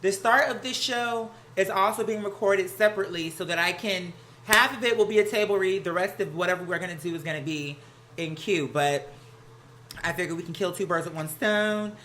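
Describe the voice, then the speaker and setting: Bright, sassy American livestream host. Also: medium pitch, brisk. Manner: sassy, campy, slang-heavy. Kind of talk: casual livestream chat. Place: at home, laundry running nearby. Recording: some household noise behind the voice. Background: laundry noise.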